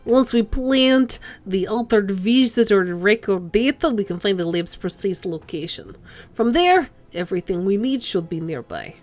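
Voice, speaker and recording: A woman reading the lines of a businesslike male corporate agent in a measured, explanatory way. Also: medium pitch, animated, soft background music, natural voice.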